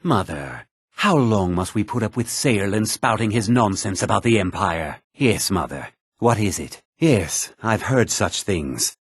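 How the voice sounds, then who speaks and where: A man's voice: lowish voice, animated, unhurried, slightly rough, no room sound, clean studio sound. An actor playing a haughty, stern, indignant young nobleman in a video game. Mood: earnest. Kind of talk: video game character lines.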